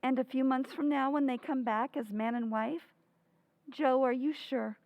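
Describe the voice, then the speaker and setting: An actress playing a tender, middle-aged mother who tells her family's story in a gentle, measured way, in old-time radio drama style. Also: high-pitched, steady tone, no room sound, highs cut off. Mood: gentle concern.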